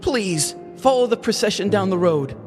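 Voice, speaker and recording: A man acts out a melodramatic, flippant villager in a comedic audio story. The voice sits very high for a man and moves around expressively. Faint music runs underneath. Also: animated, normal speed, darkish tone. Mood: solemn.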